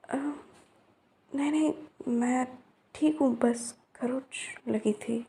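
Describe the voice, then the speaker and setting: A woman's voice: on the high side, slow pace, gravelly, dark tone. A young woman acting out a romance roleplay to a silent listener, teasing and chatty.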